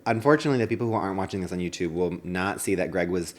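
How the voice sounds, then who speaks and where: Low-voiced campy man, casually bantering. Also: rapid-fire. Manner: campy, self-deprecating, dramatic. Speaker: co-host.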